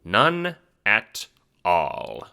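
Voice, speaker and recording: A man's voice: lowish voice, huge pitch swings, drawn-out words, vocal fry, bright, forceful tone, clear, resonant tone. A man reading scripted, second-person dramatic narration for an audio drama.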